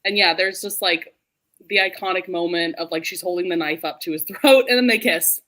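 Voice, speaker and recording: A woman hosting a book discussion in a casual, animated way, full of "like" and quick to laugh. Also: lowish voice. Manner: animated, laughs readily while talking; informal, heavy use of "like". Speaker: host of a book readalong live show. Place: remote group video call.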